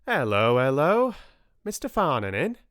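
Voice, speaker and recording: A man's voice: mid-range voice, wildly swooping pitch, a little slow, a bit bright, no room sound, pitched above the performer's own voice. Eager, confident country farmer for an audiobook, a man acting him out; broad Yorkshire, swooping intonation, dry close sound. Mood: hearty, matey. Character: plump, eager, confident male farmer, matey and blunt. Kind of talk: audiobook character dialogue.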